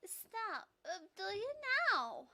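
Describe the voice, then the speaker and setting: Woman acting a flustered, high-voiced young woman. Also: sing-song, slow pace, sharp tone, heavily acted. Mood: alarmed, pleading. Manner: flustered, urgent. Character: distressed, pleading young woman being blackmailed.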